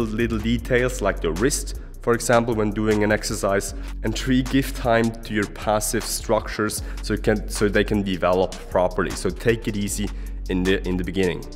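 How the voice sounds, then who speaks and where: A young man, a German-speaking fitness coach from Switzerland, earnestly explaining gym tips to a handheld camera at arm's length in a large indoor gym. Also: on the low side. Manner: earnest, explanatory, adds okay often. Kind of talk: casual vlog advice. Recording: echoey gym hall with music.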